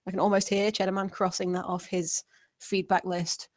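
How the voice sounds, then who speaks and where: A woman's voice: medium pitch, flat delivery, moderate pace, no echo, clean studio sound. A woman giving informal spoken script feedback, explaining her points in a rambling way.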